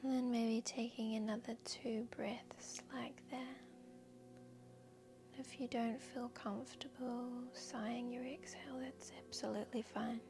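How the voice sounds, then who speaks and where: A woman guiding a meditation in a soft, breathy, whispery voice, speaking gently and soothingly with long unhurried pauses. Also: fairly high pitch, flat delivery. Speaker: yoga nidra guide and host. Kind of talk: guided meditation narration. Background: background music.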